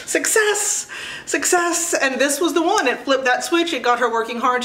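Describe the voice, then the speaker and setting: A woman's voice: fairly high pitch, a bit bright, reverberant. A middle-aged woman giving casual book recommendations in a chatty, enthusiastic, emphatic way, as a video host.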